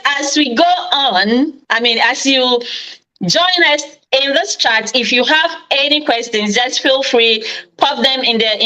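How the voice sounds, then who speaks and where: A woman's voice: high-pitched, sharp tone, rough recording. A woman hosting a live online chat over video, upbeat as she invites viewers to join in. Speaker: host.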